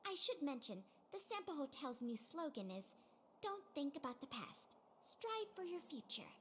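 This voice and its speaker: A lilting, high-voiced hotel greeter. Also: female voice, big pitch swings, normal speed, a little hiss or hum. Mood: welcoming. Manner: polite sales-pitch delivery. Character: courteous young female hotel greeter, eager and polished. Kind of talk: video game dialogue acting.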